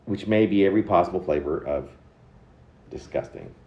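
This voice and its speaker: A roomy, slightly dull home recording of a man reciting poetry. His delivery is theatrical and mannered, with pitch that swings widely and halting, dramatic stresses on chosen words. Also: lively intonation, gravelly. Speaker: poet reading own work.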